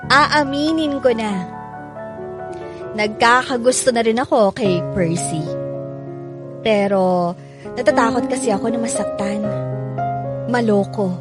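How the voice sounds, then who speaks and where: A young Filipina woman of radio drama, guarded and quick to bristle. Her voice sits a little high and is emotive and teasing. Music plays clearly behind her. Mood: vulnerable. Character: guarded, feisty young woman wary of a playboy suitor.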